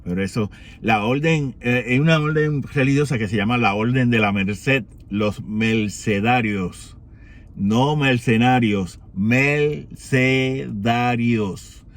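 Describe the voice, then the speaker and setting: A man from Rico, giving an unhurried reflection for morning prayer, with many pauses and rhetorical questions. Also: medium pitch. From Puerto Rico.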